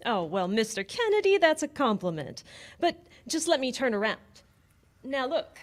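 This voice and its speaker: A woman's voice: high voice, big pitch swings, normal speed. A woman playing a chatty, coy Hollywood reporter in 1940s radio style, playful and gushing. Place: live stage performance at a public library.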